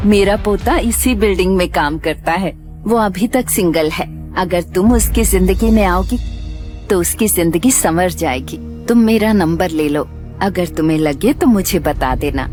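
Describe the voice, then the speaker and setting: A woman's voice: lowish voice, a little slow, darkish tone, soft background music, close to an everyday voice. A woman playing a kindly, determined old grandmother in a Hindi audio drama, speaking with affectionate persuasion. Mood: persuasive. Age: older.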